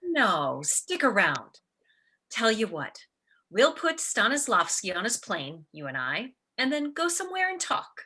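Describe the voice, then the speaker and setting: A woman's voice: fairly high pitch, huge pitch swings, measured pace, sharp tone, slightly rough, full character voice. A woman playing a wry, commanding theater star with a theatrical manner in a radio play. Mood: breezy. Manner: theatrical, sardonic.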